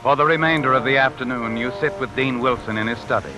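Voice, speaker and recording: A man's voice: mid-range voice, fast talker, close to an everyday voice, low-quality recording. An actor playing an ominous, all-knowing narrator in a 1950s radio mystery, taunting as he addresses the listener directly. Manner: insinuating, taunting second-person address. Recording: old radio broadcast with music.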